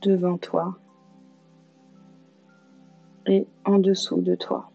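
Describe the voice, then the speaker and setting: A woman leading a guided yoga nidra meditation as an instructor, calm and soothing, leaving long pauses. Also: fairly low pitch, gravelly. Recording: slightly dull lo-fi sound, music behind. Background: music.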